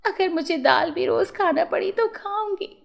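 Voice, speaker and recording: High, pleading young girl done by a woman. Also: expressive, a little slow, gravelly, full character voice. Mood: tearful pleading. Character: frightened, remorseful runaway girl pleading to go home. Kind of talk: children's moral storybook acting.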